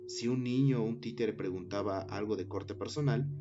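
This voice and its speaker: Low-voiced man narrating a horror tale. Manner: measured, dramatic pauses. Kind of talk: scripted horror story narration. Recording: dull lo-fi recording with music underneath.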